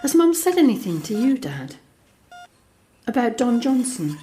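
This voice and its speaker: Audio drama lead: actress as frank, guarded young daughter, warm dark tone, intimate conversational monologue. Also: female voice, on the high side, very animated, a little slow, a little hiss or hum. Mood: tender, wistful. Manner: confiding, conversational storytelling that re-enacts dialogue. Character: Alex, young woman, guarded, frank, tender daughter confiding a secret.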